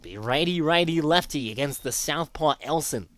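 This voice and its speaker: A man's voice: a little high, expressive, moderate pace, a bit bright. A man calling live baseball play-by-play as the announcer of a sports broadcast.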